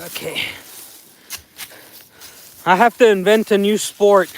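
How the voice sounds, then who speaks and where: A man's voice: high-pitched, fairly even intonation, slow. A man from the San Fernando Valley with a Mexican American accent, chatting casually to a livestream from outdoors and thanking viewers by name. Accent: Mexican American, Los Angeles. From Los Angeles, San Fernando Valley. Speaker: livestream vlogger. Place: outdoors by a mountain slope.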